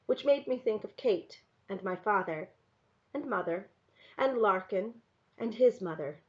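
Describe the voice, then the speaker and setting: A woman reading a first-person story aloud in an expressive narrating style. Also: mid-range voice, unhurried, muffled recording. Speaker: reader reading a novel aloud to a class.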